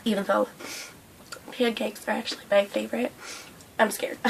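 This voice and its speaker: A young woman vlogging a breakfast mukbang, hoarse and losing her voice from a cold, rambling with lots of fillers. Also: a little high, flat delivery, unhurried, a bit bright, slight background noise, rough recording. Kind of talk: casual mukbang vlog chat. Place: indoors at home under a hot light.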